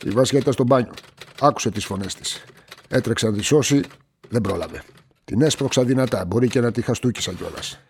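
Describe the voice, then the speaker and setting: An actor playing a desperate, menacing brother in debt who turns killer, in a radio drama, husky and forceful. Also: male voice, mid-range voice, a little hiss or hum, lightly acted. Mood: grim confession. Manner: pressing, volatile, forceful. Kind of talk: radio drama acting with confessional narration.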